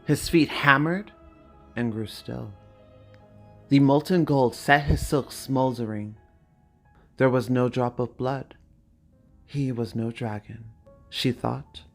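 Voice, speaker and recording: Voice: mid-range voice, takes it slowly, long pauses. A video creator with a mid-range voice, narrating fantasy drama and chatting casually to viewers. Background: background music.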